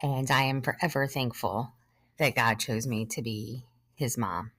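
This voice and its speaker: Earnest woman in her thirties, very deep voice. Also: a bit bright, dry recording, clean studio sound. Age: 30s. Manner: earnest, measured, with pauses. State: short of breath at times. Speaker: podcast host. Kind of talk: personal testimony monologue.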